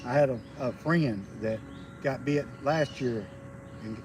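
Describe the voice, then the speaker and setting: Folksy Southern man in his fifties. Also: medium pitch. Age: 50s. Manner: rambling, folksy, halting restarts. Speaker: homesteading livestream host, logger. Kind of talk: casual outdoor livestream chat. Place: outdoors on a rural roadside. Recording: outdoor noise with birds. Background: birds and rural outdoor ambience.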